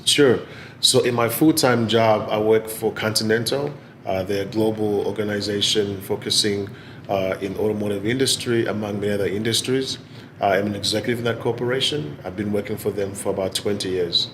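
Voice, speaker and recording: A man in his forties with a Zimbabwean accent, giving measured, articulate answers in an interview. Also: on the low side, fairly even intonation, slight background noise. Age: 40s. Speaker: interviewee, business executive and gallery owner. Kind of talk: oral history interview answers.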